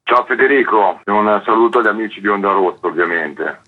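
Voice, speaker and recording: A man's voice: on the low side, a little fast, breathy edge, phone-quality sound. A man, a guest author talking casually and discursively in an interview. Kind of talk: casual interview talk about own book.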